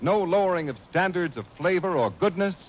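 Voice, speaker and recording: Male 1940s radio pitchman, polished and emphatic, animated, slightly high voice, faint noise. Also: fairly quick, dull audio. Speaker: radio announcer. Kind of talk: 1940s radio advertisement reading. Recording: old noisy radio broadcast.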